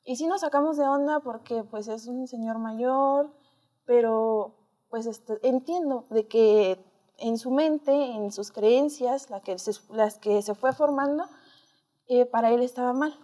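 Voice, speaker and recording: A woman's voice: a little high, a little slow, dark tone. A woman with a Mexican accent, an interview guest telling personal stories in a conversational, hesitant, reflective way.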